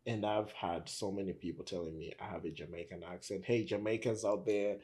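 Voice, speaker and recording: A man from Tanzania in casual conversation, relaxed, often repeating words as he thinks. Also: deep voice, fairly quick.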